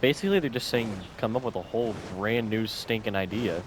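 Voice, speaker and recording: A man's voice: on the low side, a little fast, noisy background. A man giving casual, off-the-cuff commentary as he plays a video game. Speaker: gamer recording a playthrough.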